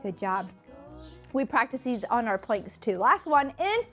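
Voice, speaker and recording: High-pitched woman coaching a workout. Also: very animated, measured pace, fairly bright tone, soft background music. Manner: chatty, encouraging, self-deprecating asides. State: stuffed-up nose. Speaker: fitness instructor. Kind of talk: workout coaching, counting and cueing to camera.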